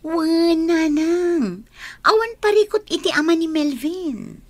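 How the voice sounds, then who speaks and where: A woman's voice: very high-pitched, lively intonation, slow, darkish tone, full character voice. An actress with an Ilocano Filipino accent playing a gushing, boastful young woman in a radio drama. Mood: earnest. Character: boastful young woman chasing a rich boyfriend.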